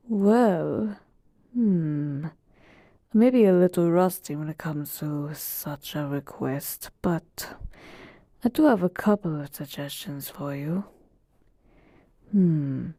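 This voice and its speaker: A woman's voice: fairly low pitch, slow pace, long pauses, dark tone. A woman voicing an ancient genie of the lamp in a roleplay audio, weary yet playful, moving between grand servitude and casual sass. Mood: patient, musing. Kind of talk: roleplay audio acting addressed to the listener.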